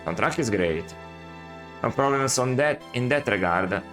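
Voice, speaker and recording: A man's voice: medium pitch, expressive, unhurried, fairly bright tone, faint music behind. A man streaming a retro game, chatting casually and musing aloud, often correcting himself and adding critical asides.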